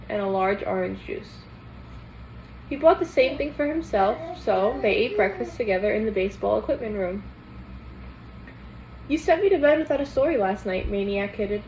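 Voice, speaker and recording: A woman's voice: mid-range voice, a little mellow, poor audio. A woman reading a children's novel aloud as a guest storyteller. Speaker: guest reader. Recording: clear background noise.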